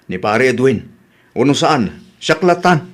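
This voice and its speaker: A man's voice: mid-range voice, sing-song, unhurried. A man with an Ilocano accent playing a wary, practical husband in a comedy radio drama. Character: wary, practical husband guarding household things.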